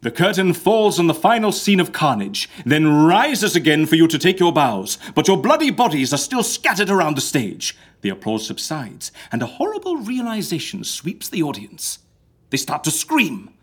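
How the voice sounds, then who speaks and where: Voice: mid-range voice, big pitch swings, normal speed, breathy edge. A performer playing an exasperated but helpful modern schemer, speaking dryly and conversationally in a comedic audio drama. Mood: brisk, scheming.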